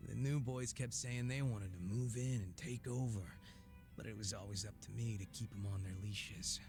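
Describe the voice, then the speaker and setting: An actor playing a cocky, laid-back former gang boss in a video game, teasing and sardonic with a languid drawl and casual swearing. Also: male voice, fairly low pitch, steady tone, normal speed, music in the background, natural voice. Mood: jaded. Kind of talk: English video game voice acting.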